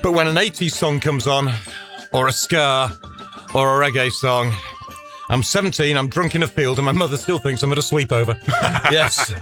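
A man's voice: medium pitch, moderate pace, sharp tone, faint music behind. A man in his sixties, co-hosting a radio show with hesitant, chuckling banter full of fillers. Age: 60s. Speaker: radio co-host. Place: radio studio.

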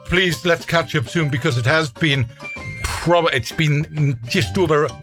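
Bright, teasing male radio host. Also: on the high side, measured pace, soft background music. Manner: teasing, playful. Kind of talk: casual radio banter. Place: radio studio.

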